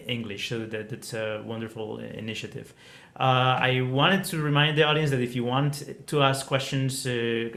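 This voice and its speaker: Courteous man moderating from an echoey room. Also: medium pitch. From Colombia. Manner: courteous, many fillers. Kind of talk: moderating an online forum. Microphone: video call. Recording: echoey room on a video call.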